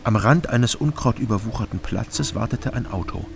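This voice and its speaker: An actor playing a weary, laconic East German private detective, narrating and talking in a radio crime drama. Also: male voice, medium pitch, moderate pace, creaky, slight background noise, close to an everyday voice. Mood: terse, observant. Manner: terse, laconic.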